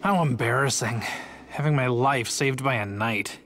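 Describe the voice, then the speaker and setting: A man's voice: medium pitch, moderate pace, a bit bright, slight background noise, lightly acted. A man playing a boastful, theatrical gang thief in a video game, cocky but cowardly under threat. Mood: sullen. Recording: music behind the voice.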